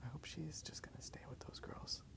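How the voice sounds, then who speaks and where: A man whispering softly in a breathy, gravelly voice, rambling casually and a little hesitantly in an ASMR style. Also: fairly quick. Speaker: ASMR video creator. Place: outdoors in a park.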